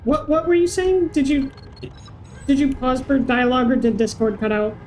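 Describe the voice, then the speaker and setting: A woman's voice: high-pitched, steady tone, moderate pace, darkish tone. A woman streaming a game, chatting in a casual, playful way. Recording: voice chat with background game noise.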